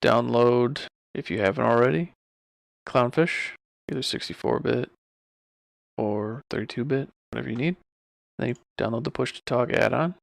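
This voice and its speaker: A man talking informally and offhandedly through a software tutorial as he works at his computer. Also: medium pitch, moderate pace, gravelly, no echo. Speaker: streamer giving a software tutorial. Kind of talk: casual screen-recorded tutorial.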